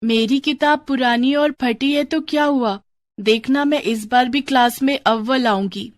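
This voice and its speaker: A woman's voice: sits high, measured pace, no room sound, pitched above the performer's own voice. A woman voicing a humble, earnest poor schoolgirl in a storybook tale, polite and plain-spoken. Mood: quietly determined. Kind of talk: storybook character dialogue.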